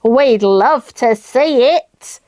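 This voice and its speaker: A woman's voice: a little high, expressive, drawn-out words, a little mellow, no echo, clean studio sound. A woman presenting scripted material for a children's show.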